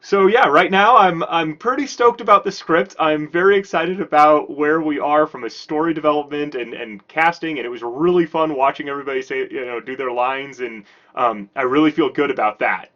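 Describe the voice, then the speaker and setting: A man's voice: fairly high pitch, moderate pace, sharp tone, muffled recording. A man speaking as a filmmaker and host, giving a casual production diary in a candid, conversational way. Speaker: filmmaker, writer-director and host.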